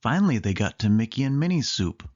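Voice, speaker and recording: A storyteller reading a children's book aloud in an animated way, lingering on listed words. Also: medium pitch, fast, muffled recording.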